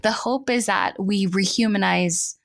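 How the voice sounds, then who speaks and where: A woman's voice: medium pitch, unhurried, creaky. A woman from the Philippines speaking reflectively as an interview guest, thoughtful and often rewording as she goes.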